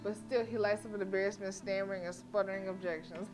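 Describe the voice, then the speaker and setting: A woman's voice: mid-range voice, steady tone, fast. A woman livestreaming a visual novel, reading it aloud with playful, slangy asides. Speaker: video game streamer. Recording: music playing behind the voice. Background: game music.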